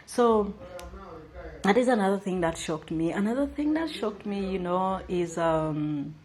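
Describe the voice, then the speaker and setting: A woman's voice: mid-range voice, unhurried, darkish tone. A young woman from Uganda talking casually to her viewers about her travels, with lots of fillers and asides to "guys". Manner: chatty, many fillers, addresses viewers as guys. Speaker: travel vlogger. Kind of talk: casual chat to camera.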